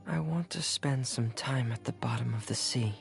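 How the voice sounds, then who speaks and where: An actor playing a shy, gloomy, gentle teenage boy diver in a video game, soft, breathy and hesitant. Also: medium pitch, normal speed, soft background music, lightly acted. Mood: reserved. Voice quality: soft, breathy, near-whispered. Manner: hesitant, reserved, melancholy. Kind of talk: subdued video game character voice lines.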